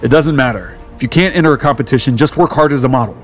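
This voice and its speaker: A man's voice: medium pitch, fast, natural voice. An actor playing a devoted, protective man in a romance audio drama, serious and reassuring. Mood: tender, reassuring. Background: background music.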